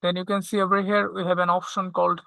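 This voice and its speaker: A tutorial instructor walking through software step by step, often checking in with a short questioning tag. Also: medium pitch, little pitch movement, fast talker, gravelly, dry recording, poor audio. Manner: checks understanding with frequent "okay?".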